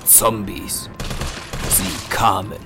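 Low-voiced male narrator over music. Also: level tone, very slow. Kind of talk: scripted audio drama narration.

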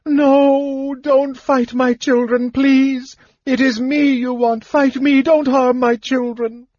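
A man's voice: very high-pitched, flat delivery, takes it slowly, darkish tone, no echo, dull audio, voice pushed up, exaggerated voice. A man voicing an old female dragon in a fantasy audiobook, an anguished and fiercely protective mother. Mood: anguished, pleading. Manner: shrieking, pleading, anguished.

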